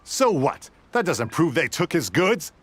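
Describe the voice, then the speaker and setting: An actor voicing a hostile, suspicious guard in a video game, blunt and scornful. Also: male voice, a little high, sing-song, unhurried, breathy edge, heavily acted.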